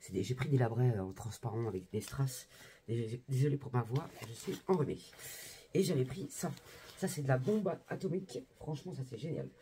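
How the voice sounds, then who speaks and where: A woman's voice: extremely low pitch, fairly quick, mellow, slight background noise. A young woman vlogging in a casual, slangy, exclamatory way, chatting straight to her viewers. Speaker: lifestyle vlogger. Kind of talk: casual vlog chat.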